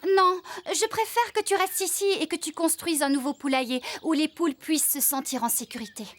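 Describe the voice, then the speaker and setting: A woman playing a determined, caring farmer in an animated children's cartoon, speaking purposefully. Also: sits high, brisk, close to an everyday voice. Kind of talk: animated cartoon dub acting. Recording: loud background music under dialogue.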